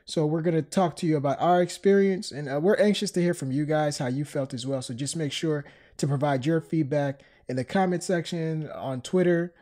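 A man's voice: on the high side, fairly quick, warm tone. A man hosting a podcast in casual chat, a bit stuffed up from a cold. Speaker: podcast host.

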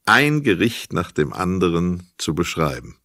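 A man's voice: low-pitched, very animated, a little slow. A man narrating a crime radio play in a scripted, literary storytelling style. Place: dry studio.

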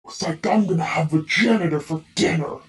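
A performer voicing a sinister, hungry cartoon alligator artist with a gravelly, mock-friendly delivery. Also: mid-range voice, fairly quick, recorded from a distance, close to an everyday voice. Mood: menacing friendliness. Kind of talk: villainous cartoon voice lines.

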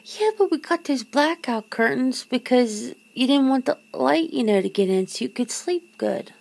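A woman playing a nervous, teasing, disbelieving girlfriend in an intimate ASMR roleplay, talking haltingly and often dropping toward a whisper. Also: high-pitched, expressive, vocal fry, darkish tone, heavily acted. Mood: hesitant, confused. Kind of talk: ASMR roleplay, one side of an intimate conversation.

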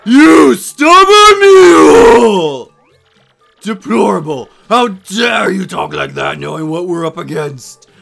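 Woman putting on a cranky, creaky old elder creature. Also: mid-range voice, sing-song, takes it slowly, fairly bright tone, faint music behind, exaggerated voice. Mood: stern, scolding. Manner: scolding, domineering.

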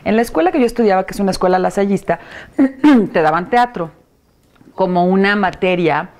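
A middle-aged woman with a Mexican Spanish accent tells her story as an interview guest. She talks in an easy, chatty way and swings between laughs and warm, lively emphasis. She is recorded close on a lapel mic on an indoor sofa set. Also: medium pitch, fairly quick. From Mexico, raised in Puebla. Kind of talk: casual interview chat.

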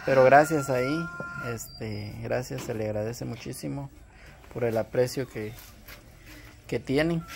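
Very low-voiced woman vlog host. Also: vocal fry, background noise. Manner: halting, repeats words, lots of fillers. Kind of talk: casual chat with viewers. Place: outdoor patio at a home.

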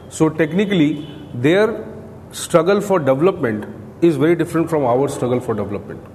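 A man's voice: fairly high pitch, a little mellow. Indian man lecturing in an echoey room. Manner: explanatory, often tags statements with "right?". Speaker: coaching lecturer. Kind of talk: classroom lecture. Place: indoor classroom, at a podium beside a screen. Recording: echoey room with clear background noise.

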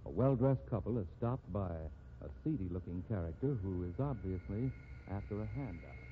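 An actor playing the announcer of a mystery show, narrating deliberately in scripted 1950s radio style. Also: male voice, fairly low pitch, expressive, background noise, close to an everyday voice. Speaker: announcer and scene-setting narrator. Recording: tinny 1950s radio recording with music.

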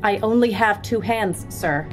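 A woman's voice: medium pitch, a little slow, soft background music, lightly acted, low-quality recording. An actress playing a terse, harried nurse, a woman in an audio drama.

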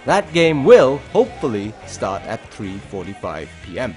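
Lively male sports highlights narrator. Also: normal speed. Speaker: sports show presenter. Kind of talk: scripted voice-over narration for match highlights. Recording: music under the voice. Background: background music.

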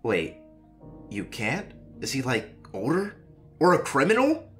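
A woman's voice: a bass voice, huge pitch swings, unhurried, soft background music, heavily acted. A woman voicing a sweet, dorky teenage boy in a comic dub, teasing and earnest. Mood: concerned. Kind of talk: animated-style comic dub acting.

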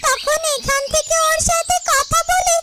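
A woman voicing a small, cautious yellow bird in a cartoon fairytale, slowly thinking aloud. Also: very high-pitched, loud music behind the voice. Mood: cautious, hopeful. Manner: slow, deliberate, thinking aloud. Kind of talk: Bengali cartoon fairytale dialogue.

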